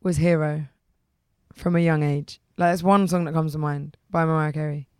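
A woman singer with a husky, rough voice, hoarse today, chatting casually in an interview and saying "like" a lot. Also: deep voice, flat delivery, darkish tone, no echo, studio quality. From England. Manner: chatty, repeats "like", quick restarts. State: hoarse from heavy use. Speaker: singer, guest.

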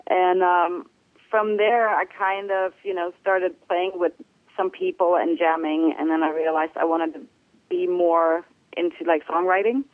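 A woman from Germany, a bass player, answering interview questions in a relaxed, hesitant way with many fillers. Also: a little slow, dry recording, phone-quality sound. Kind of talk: casual phone interview.

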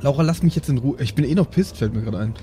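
A man's voice: medium pitch, animated, very fast, warm tone, slight background noise. A man playing a tabletop game, talking casually and informally in slang.

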